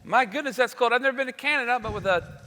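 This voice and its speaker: A man's voice: very high voice, quick pace, sharp tone, a little hiss or hum. A man playing a genial, showy host of an old-time radio variety show, with folksy announcer-style delivery. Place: large hall, live stage show. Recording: echoey hall, live stage sound.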